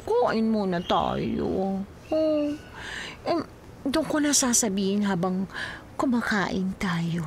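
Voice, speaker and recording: A woman playing a flirtatious, bossy mistress in an audio drama, with coy, drawn-out, giggly teasing. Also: medium pitch, expressive, crowd noise. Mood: playful, coaxing. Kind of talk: Filipino radio-style drama acting.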